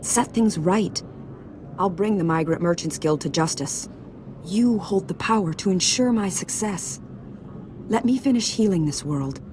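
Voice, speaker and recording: A woman's voice: lowish voice, lively intonation, a little slow. A woman playing a proud, self-righteous senator in a video game, speaking earnestly and formally. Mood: pleading. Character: proud, self-righteous female senator defending her crimes. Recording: clearly noisy background ambience.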